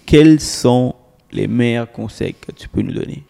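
A Québécois man born in Sri Lanka hosting a casual podcast interview, relaxed and halting with repeated words. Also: mid-range voice, vocal fry. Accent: Québécois French. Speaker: podcast host. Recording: reverberant room.

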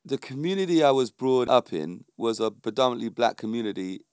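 A man's voice: mid-range voice, animated, a little fast, smooth, dry recording, clean studio sound. A middle-aged man with a London accent, talking informally and emphatically to viewers as a fitness instructor, often checking in with short rhetorical questions. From London, England. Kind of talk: informal motivational monologue to viewers.

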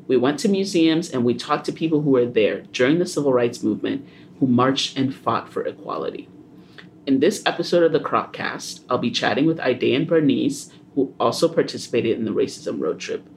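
A man's voice: medium pitch, slight background noise. Easygoing young man hosting a podcast. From Haiti. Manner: relaxed, with fillers and small laughs. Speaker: podcast host.

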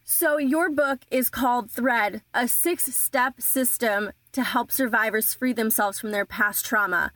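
A woman hosts a podcast interview. Her voice sits a little high, and she speaks politely as she thanks her guest and poses questions. The recording is dry and close. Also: measured pace, hardly any pauses, a bit bright, no room sound. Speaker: podcast host.

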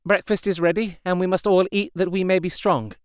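A man's voice: sits high, fairly even intonation, fairly quick, smooth, dry recording, clean studio sound, voice pushed up, close to an everyday voice. A man voicing a brave, resolute young wife who speaks earnestly and with composure. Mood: tender, firm. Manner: earnest, composed, later wailing in anguish.

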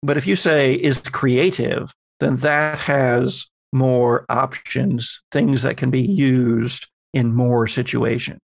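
A man acting as game master, explaining tabletop game rules in a measured, conversational, lightly playful way. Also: mid-range voice, little pitch movement, gravelly, no echo.

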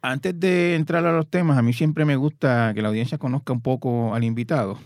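A man's voice: medium pitch, darkish tone, dry recording, studio quality. Man hosting a podcast. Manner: measured, hesitant with fillers. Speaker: podcast host. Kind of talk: interview podcast.

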